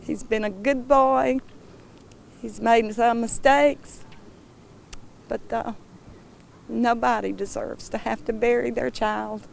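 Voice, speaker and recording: A woman giving a halting interview as a grieving relative. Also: on the high side, fairly even intonation, creaky, poor audio.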